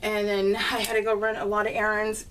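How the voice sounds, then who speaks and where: A woman's voice: mid-range voice, completely flat delivery, a little fast, fairly bright tone, slight background noise, low-quality recording. A middle-aged woman chatting casually and unscripted to viewers as a craft vlogger, in a giggly, rambling way. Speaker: craft vlogger presenting her scrapbook layouts. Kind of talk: casual, unscripted chat to viewers while showing a scrapbook.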